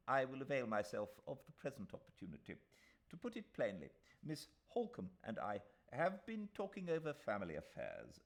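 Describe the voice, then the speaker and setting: A man voicing a fussy, self-assured old English gentleman solicitor in an audiobook, speaking formally and emphatically with pompous repetitions. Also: medium pitch, pitched above the performer's own voice. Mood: brisk, self-assured. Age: older. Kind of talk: audiobook character voice.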